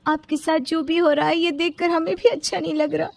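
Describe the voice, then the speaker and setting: A woman's voice: extremely high pitch, level tone, fairly quick, creaky, no room sound, voice pushed up. A woman voicing a sympathetic, persistent young sister-in-law in a storybook tale, respectful and pleading.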